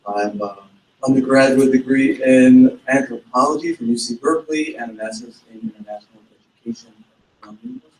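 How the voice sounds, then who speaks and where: Quick-talking man from Israel in an echoing hall. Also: medium pitch, expressive, a little hiss or hum. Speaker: department manager. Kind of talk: self-introduction. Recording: roomy hall, far from the mic.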